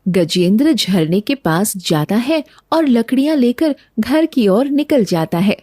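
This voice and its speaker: A woman's voice: on the high side, big pitch swings, a little slow, mellow, no echo, studio quality. A woman narrator reading a scripted children's story.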